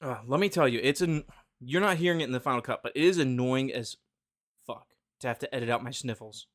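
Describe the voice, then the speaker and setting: A man's voice: medium pitch, fairly quick, a bit bright. A man hosting a podcast in casual chat, joking and rambling with lots of fillers and restarts. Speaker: podcast host.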